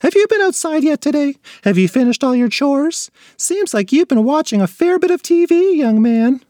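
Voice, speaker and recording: A man doing a high, stern storybook mom. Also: expressive, normal speed, warm tone, no echo, studio quality. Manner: scolding, emphatic. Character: bossy mother, middle-aged woman, strict.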